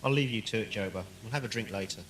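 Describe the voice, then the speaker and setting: A man's voice: on the low side, fast, lightly acted. A man playing a callous, insolent junior officer in an audio drama, speaking with smug sarcasm. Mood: sarcastic. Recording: very loud music, 1980s cassette.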